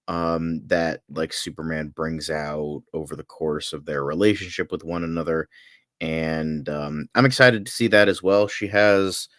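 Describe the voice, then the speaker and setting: A man's voice: low-pitched, a bit bright, clear, resonant tone, no echo, studio quality. A man co-hosting a podcast, chatting casually as an opinionated fan in a loose, rambling way full of filler phrases. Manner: rambling, peppered with "like" and "you know". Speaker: podcast co-host.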